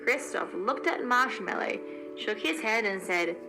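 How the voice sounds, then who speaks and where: A woman narrating a children's storybook aloud in an expressive storytelling way. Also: medium pitch, a little slow, over music, low-quality recording. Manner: expressive storytelling, acts out dialogue.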